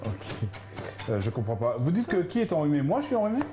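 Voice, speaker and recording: A man's voice: on the high side, very animated, quick pace. A man hosting a live cooking stream, joking with the cook and reading viewer comments aloud in a playful, laughing way. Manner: playful, jokey, laughs often, talks to viewers. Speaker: livestream cooking show host. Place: home kitchen. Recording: roomy kitchen sound with background music.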